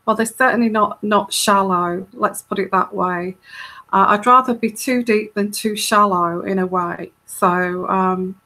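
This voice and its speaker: A woman with a soft, soothing voice hosting a live tarot Q&A, chatting casually and rambling with many fillers and restarts. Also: mid-range voice, steady tone, a little slow. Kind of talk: casual live-stream chat.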